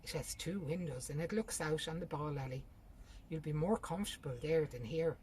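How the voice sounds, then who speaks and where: A woman's voice: low-pitched, normal speed, a little mellow, slight background noise, close to an everyday voice. Woman reading as a bossy elder sister. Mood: practical, persuasive. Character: practical, bossy, impatient older sister.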